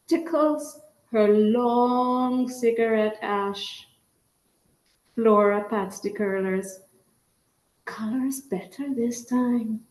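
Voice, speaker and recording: A woman from Trinidad reads her poetry at an unhurried pace. Her delivery is measured, and she often lets the ends of lines fall quiet. The sound carries a noticeable room echo. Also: medium pitch. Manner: slow, measured reading with soft, dropping line endings. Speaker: featured poet. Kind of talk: poetry reading. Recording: echoey room.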